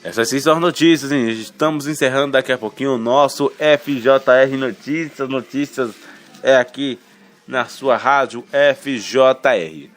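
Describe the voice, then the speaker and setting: Halting radio newsman, music behind. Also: medium pitch. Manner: colloquial, halting, stumbles over words and self-corrects, tags remarks with questions. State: has a slight cold. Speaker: radio news presenter. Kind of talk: informal reading of radio news headlines with asides.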